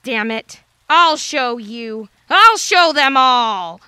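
A woman playing a cold, determined female villain in an audio drama, commanding and deliberate. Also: high-pitched, expressive, bright, forceful tone, clear, resonant tone, dry recording, full character voice.

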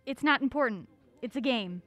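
An actress playing a bossy, impatient amateur sleuth with a brisk, curt manner in a comic audio drama. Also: female voice, on the high side, lively intonation, a bit bright, faint music behind. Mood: focused. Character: bossy, impatient woman amateur sleuth, assistant store manager. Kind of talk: comedic audio drama acting.

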